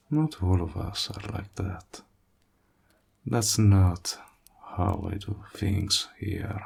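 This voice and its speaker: Casting: male actor as a silky warlock host for intimate audio roleplay. Deep, extremely unhurried, soft-spoken, gracious and flirty with quiet menace. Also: exaggerated voice. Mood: calm, persuasive. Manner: soft-spoken, breathy, slow and deliberate, flattering. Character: suave, courteous male warlock, hospitable, flirtatious, slyly menacing.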